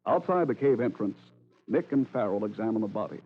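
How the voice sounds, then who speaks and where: Male American mid-century radio announcer, formal and emphatic, lively intonation, tinny phone-like sound. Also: on the low side, brisk. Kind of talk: 1940s-50s radio announcing, scripted.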